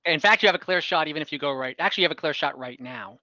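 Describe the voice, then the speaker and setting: Bright, dry game master on a call. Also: mid-range voice, very fast, no echo. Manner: helpful, checks rules aloud. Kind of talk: running an online tabletop game. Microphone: headset or desk mic on a video call. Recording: dull online call audio.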